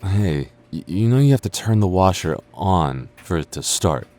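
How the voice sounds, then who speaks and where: A man's voice: sits low, animated, moderate pace, a little mellow. A young man acting as a sarcastic, teasing and stubborn childhood friend in a naturalistic boyfriend audio roleplay. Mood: teasing, softer. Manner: teasing, sarcastic, stammers when flustered. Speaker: voice actor.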